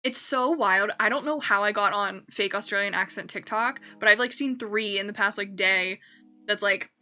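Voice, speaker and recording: A woman's voice: mid-range voice, normal speed, sharp tone. A young woman streamer chatting casually while building in a game, rambling and correcting herself with lots of fillers.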